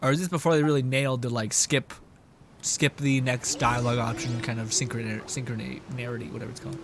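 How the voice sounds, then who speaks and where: A man's voice: medium pitch, fairly even intonation, brisk, over music. A man streaming a video game, rambling playfully, swearing and laughing often as he comments. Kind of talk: casual livestream commentary.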